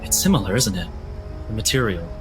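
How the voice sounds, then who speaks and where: A man playing an earnest, fascinated male scholar who speaks in a thoughtful, halting, articulate way. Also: fairly low pitch, lively intonation, over music, close to an everyday voice.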